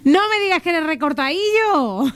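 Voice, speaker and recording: Una mujer manchega con acento de La presenta un programa matinal de radio. Su voz es alta y clara. Bromea sin parar con quien llama. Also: female voice, very high voice, big pitch swings, moderate pace, no echo. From La Mancha, Spain. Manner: chatty, teasing, laughs often. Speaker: radio host. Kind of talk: morning radio birthday calls.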